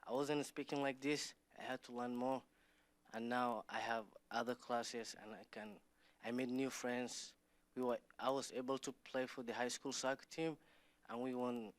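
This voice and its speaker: A teenage boy who grew up in Somalia and Kenya shares his story at a school meeting. He speaks English as a learner, in simple, halting sentences with restarts. His pitch stays flat. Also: no echo. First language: not English. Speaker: student speaker. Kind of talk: personal testimony.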